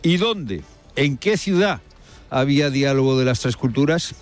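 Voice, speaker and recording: Male radio presenter, mid-pitched, emphatic and rhetorical, hammers key phrases by repetition, faint music bed. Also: animated, unhurried. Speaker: radio presenter commenting on the news. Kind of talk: live spoken commentary with field reporting.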